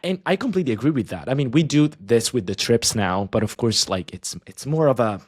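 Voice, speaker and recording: A man guesting on a podcast as an online language teacher, chatting casually and talking in quick bursts with frequent restarts. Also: medium pitch, animated, husky, dry recording, clean studio sound.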